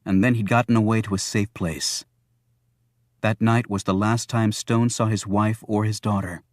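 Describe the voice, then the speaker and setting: A man's voice: fairly low pitch, steady tone, moderate pace, no room sound, clean studio sound. A man narrating a thriller novel as a scripted audiobook.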